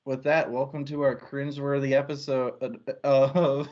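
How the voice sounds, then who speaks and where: A man's voice: mid-range voice, flat delivery, a little slow, a little mellow, muffled recording. A man hosting a book discussion livestream as one of two co-hosts. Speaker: co-host of a book discussion show. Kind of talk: livestream hosting, part casual, part prepared intro.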